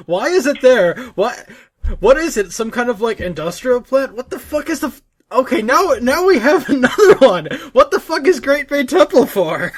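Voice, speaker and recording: A man with a high-pitched voice co-hosts a casual gaming podcast. He talks haltingly, thinking out loud and repeating words as he works toward his point. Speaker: podcast co-host.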